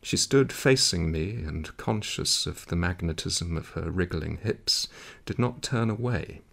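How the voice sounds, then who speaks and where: A man reading a literary story aloud in a measured, dramatic way, with a gravelly, husky voice. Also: low-pitched, lively intonation, a bit bright. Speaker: audiobook reader.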